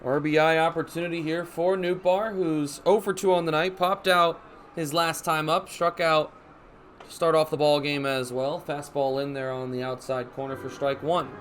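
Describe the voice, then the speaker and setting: A man's voice: on the high side, steady tone, a bit bright. A man in his twenties doing radio baseball play-by-play as the announcer, with a descriptive delivery. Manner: descriptive, measured delivery that rises on action. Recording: clear background ambience noise.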